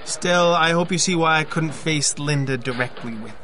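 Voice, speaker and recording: A man's voice: fairly high pitch, moderate pace, pushed and bright, slight background noise. An actor playing an intense, guilt-ridden man confessing to murder in an audio drama. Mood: guarded, polite.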